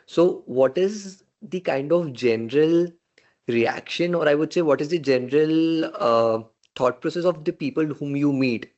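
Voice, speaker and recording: A man's voice: fairly high pitch, a little slow, a little mellow, muffled recording. A man hosting a conversational interview, often agreeing and affirming. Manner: frequently echoes and affirms the guest. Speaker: interviewer, podcast host.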